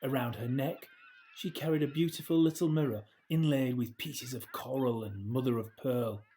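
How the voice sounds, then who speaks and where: Guy reading a kids story, soft mellow voice, measured n expressive, mid pitch. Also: poor audio. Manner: measured, expressive phrasing with deliberate pauses. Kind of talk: scripted children's story narration.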